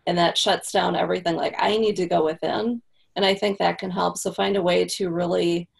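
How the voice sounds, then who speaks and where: A woman's voice: lowish voice, steady tone, fairly quick, gravelly, husky. A woman guest on a podcast interview, explaining practical tips in a casual, conversational way and checking in with "right?". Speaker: copywriting guest.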